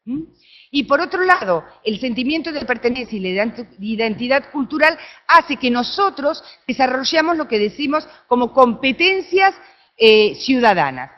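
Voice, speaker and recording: A woman with an Argentine accent giving an academic talk at a conference, often checking in with her audience through quick tag questions. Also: high voice, lo-fi sound. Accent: Argentine Spanish. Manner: animated, with frequent tag questions. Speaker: conference speaker. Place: conference room.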